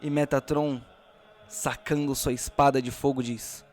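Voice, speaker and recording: A man's voice: mid-range voice, level tone, a little slow, darkish tone. Male game master, mid-range voice, dramatic narration of a fantasy audio drama over light guitar.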